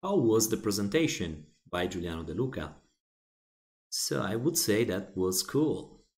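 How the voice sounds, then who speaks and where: A man whose first language is Italian, walking through a software feature step by step in a plain explaining manner with frequent restarts. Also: fairly low pitch, big pitch swings, measured pace. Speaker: software tutorial presenter. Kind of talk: screen-recorded software walkthrough.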